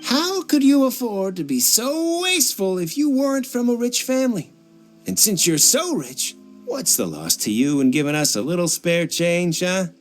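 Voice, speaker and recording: An actor playing a greedy, bullying street thug boss with a smarmy, pressuring manner in an English game dub. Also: male voice, high-pitched, sing-song, normal speed, soft background music. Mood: accusing, exasperated. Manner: smarmy, pressuring, stammers when cornered.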